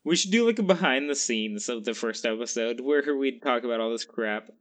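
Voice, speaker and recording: A man's voice: mid-range voice, lively intonation, fairly quick, a bit bright. A man in his twenties co-hosting a casual podcast, rambling and joking with frequent laughs. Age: 20s. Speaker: podcast co-host. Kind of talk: casual chat.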